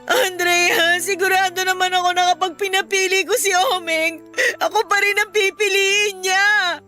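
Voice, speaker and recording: Very high-pitched heartbroken girlfriend. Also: little pitch movement, normal speed, sharp tone. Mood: tearful, pleading. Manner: tearful, pleading, emotional. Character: heartbroken, long-suffering young girlfriend, clinging then fed up. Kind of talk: Tagalog radio drama acting. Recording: music behind the voice.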